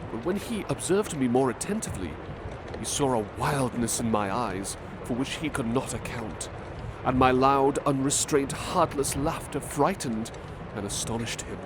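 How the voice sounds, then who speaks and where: Guy reading a book aloud soft and slow, butler roleplay, street noise in the background. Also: medium pitch. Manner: soft, measured dramatic reading. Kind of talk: ASMR storybook reading. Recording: steady urban traffic noise behind.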